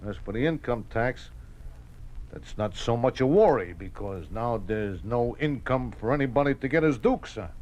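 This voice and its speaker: A man plays a good-hearted American racetrack everyman in an old radio drama. He talks in street slang dressed up with stiff, polite formality, halting now and then as he picks his words. It sounds like a vintage 1950s broadcast. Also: medium pitch, darkish tone, muffled recording, close to an everyday voice. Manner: slangy, mock-formal, hesitant.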